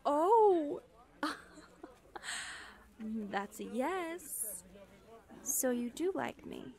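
A woman playing a high-voiced, unhurried confident young witch. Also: vocal fry, full character voice. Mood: tender, teasing. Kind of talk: ASMR roleplay acting.

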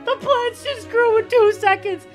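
A woman streaming a co-op game with a friend, loud, joking and swearing often. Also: very high voice, unhurried, soft background music. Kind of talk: casual gaming chat.

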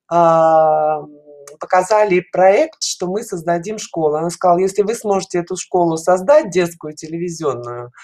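A woman's voice: fairly low pitch, darkish tone. Middle-aged female guest on a video call, chatty, informal and stop-start in delivery. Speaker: guest caller, children's animation studio representative. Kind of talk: casual interview answers. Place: indoors at home, video call. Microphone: headset.

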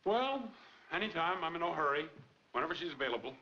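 A middle-aged American actor playing a smooth, attentive painter and husband in 1940s film style. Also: male voice, on the high side, sing-song, quick pace, sharp tone, exaggerated voice. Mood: breezy. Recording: noisy, dull 1940s film soundtrack.

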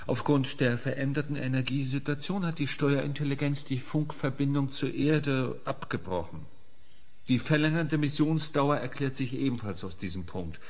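An actor in a German audio drama playing a sober scientist who reports in a formal, matter-of-fact way. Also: male voice, mid-range voice, lightly acted.

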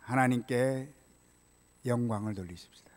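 An older man preaching a prepared sermon as a pastor, in a measured, solemn way, from a church pulpit microphone. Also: medium pitch. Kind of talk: sermon, read from prepared text. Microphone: gooseneck pulpit microphone. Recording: reverberant church hall sound.

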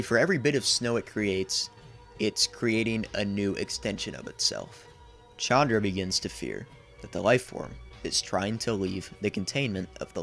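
Low-voiced young man narrating, slightly creaky. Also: a bit bright. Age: 20s. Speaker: video essayist. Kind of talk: scripted narration, horror series recap. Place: small indoor room.

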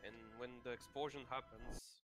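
A man on game voice chat, casually explaining things to his group in a halting way. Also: medium pitch, steady tone, over loud music, rough recording. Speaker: raid member.

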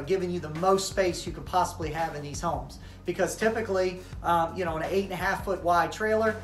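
A man in his thirties, a tiny house builder, casually explaining his work to the camera from inside an unfinished house. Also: sits high. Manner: relaxed, informal, frequent um and you know. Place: framed interior of an unfinished tiny house, indoors. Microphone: camera mic at a few meters. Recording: roomy echo, faint music.

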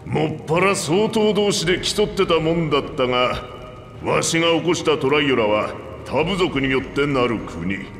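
A man playing an aging two-headed reptilian king in a video game, commanding, frank and gruff in his manner. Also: a little high, animated, normal speed, fairly bright tone. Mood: frank, wry. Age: older. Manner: gruff, regal, plain-spoken old-ruler speech. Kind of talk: Japanese video game voice acting. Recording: echoey hall reverb, background music.

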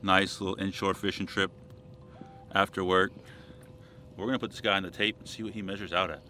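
A man's voice: sits low, little pitch movement, a little fast, background noise. A young man from Florida vlogging casually and upbeat to the camera from a small fishing boat out on the water. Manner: upbeat, talks straight to camera. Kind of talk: casual outdoor vlog. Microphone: chest-mounted action camera.

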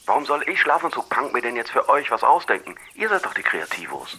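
A cocky, laid-back punk eccentric in a radio play. He rattles along in a raspy, forceful voice, full of slangy swagger. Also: mid-range voice, sing-song, brisk, heavily acted. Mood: cocky, casual. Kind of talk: German children's audio drama acting.

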